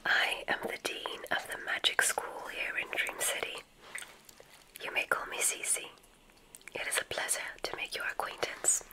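A woman whispering in a breathy, gentle way, playing a gracious witch dean in an ASMR roleplay. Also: poor audio. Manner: gentle, drawn-out, effusively complimentary. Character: gracious, welcoming witch dean of a magic school.

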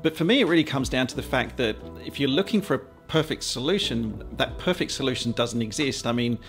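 A man, presenting an explainer to camera in a measured, conversational way. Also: mid-range voice, expressive, a bit bright, soft background music. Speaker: aviation vlogger and presenter. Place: small quiet home office, indoors.

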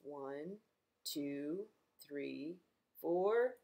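A middle-aged woman reading a picture book aloud to young children, gentle and expressive, as a librarian at home. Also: sits low, extremely slow, creaky, rough recording. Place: living room at home, indoors.

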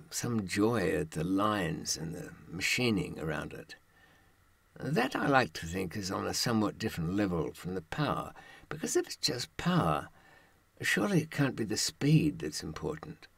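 A man in his seventies giving a reflective monologue, musing haltingly and posing rhetorical questions in a husky voice. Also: low-pitched, a bit bright, dry recording. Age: 70s. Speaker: presenter.

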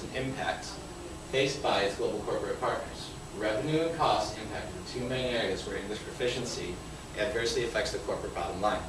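A man co-presenting at a conference, partly reading aloud from his slides. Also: on the low side. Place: conference session room. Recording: very echoey noisy room, distant microphone.

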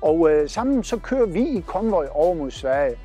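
A cheerful, chatty man in his forties with a Southern Jutland Danish accent talks straight to camera. His voice sits fairly high for a man. He is recorded on a lapel mic outdoors, with faint music underneath. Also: sing-song, warm tone, slightly rough, highs cut off. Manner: upbeat, chatty, pointing while talking. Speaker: trucker vlog host. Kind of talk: casual vlog narration to camera. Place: outdoors by parked trucks.

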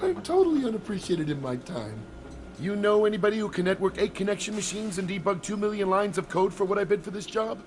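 A man's voice: high voice, big pitch swings, fast talker. A man voicing a sloppy, resentful computer programmer who mumbles through fillers and sulks. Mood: sulky. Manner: mumbling with many fillers, sulky.

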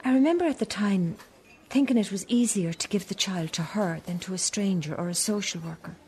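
An actress playing an older Irish mother in a radio drama, reflective and halting as she confesses her past. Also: mid-range voice, a little mellow, a little hiss or hum, natural voice. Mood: subdued, regretful. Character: older Irish mother, candid, regretful, recalling her youth. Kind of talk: naturalistic radio drama acting.

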